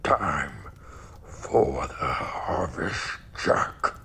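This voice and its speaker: Demon, horror audio drama. Sharp, creaking growl, swooping and ominous. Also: male voice, rumbling low, exaggerated voice. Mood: gloating menace. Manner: slow, drawn-out, ominous. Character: menacing male demon from the fire, gloating harvester.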